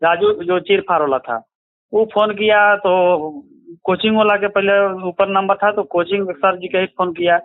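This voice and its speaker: बिहार का एक आदमी, बिहारी लहजे में, फ़ोन इंटरव्यू में घटनाएँ सुनाता हुआ, अटक-अटक कर बोलचाल के अंदाज़ में।. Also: male voice, high voice, fairly even intonation, a little slow, no room sound. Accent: Bihari. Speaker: interviewee, relative of a crime victim. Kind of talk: recounting events in a phone interview. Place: phone call. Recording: tinny, choppy phone line.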